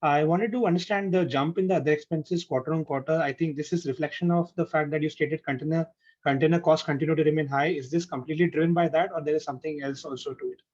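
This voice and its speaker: A man's voice: on the high side, fairly even intonation, fast, darkish tone. A man speaking as an analyst, asking management a question on an earnings call. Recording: dull, lo-fi call line.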